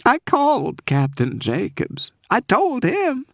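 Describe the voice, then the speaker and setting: A man voicing a frightened, secretive married woman with a Southern accent, halting and near tears, in an audiobook. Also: medium pitch, lively intonation, fairly bright tone, no room sound, clean studio sound, pitched above the performer's own voice. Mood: distraught. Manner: halting, sobbing, pleading. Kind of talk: audiobook character voice.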